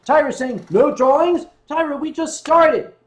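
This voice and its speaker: A man hosting a casual livestream, joking and swearing freely, with bursts of rapid talk. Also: extremely high pitch. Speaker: livestream host. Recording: roomy room with loud guitar music. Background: guitar music.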